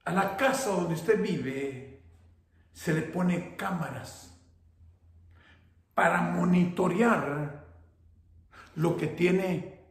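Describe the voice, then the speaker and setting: A man in his fifties, a pastor with a northern Mexican Spanish accent, preaching slowly and emphatically from his home, repeating key words. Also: sits high. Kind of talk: sermon. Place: home living room, indoors. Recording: roomy echo.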